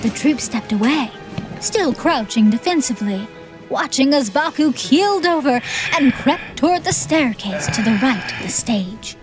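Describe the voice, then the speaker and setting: A woman's voice: high voice, lively intonation, unhurried. A woman reading scripted, dramatic audiobook narration. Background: music.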